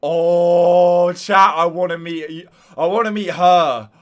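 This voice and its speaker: A man giving casual live-stream reaction commentary, excitable and thinking out loud to his viewers. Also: high voice, takes it slowly. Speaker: streamer reacting to game voice lines.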